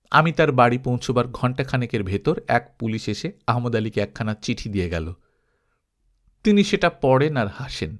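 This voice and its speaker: A man reading a literary travelogue aloud as an expressive, dramatized audiobook storyteller. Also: medium pitch. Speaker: audiobook narrator.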